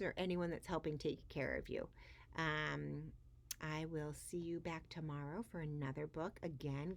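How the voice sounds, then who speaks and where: Female picture-book reader: expressive, very slow delivery, lowish voice, stresses key words. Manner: dramatic pauses and emphasis on key words. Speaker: children's story reader.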